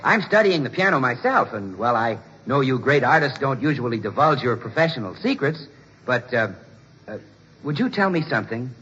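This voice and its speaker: A man with an American accent playing a gushing, eager radio announcer who pitches soap in 1940s comedy, gee-whiz and salesmanlike. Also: sing-song, moderate pace, fairly bright tone. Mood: eager, fawning. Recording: noisy muffled 1940s radio recording.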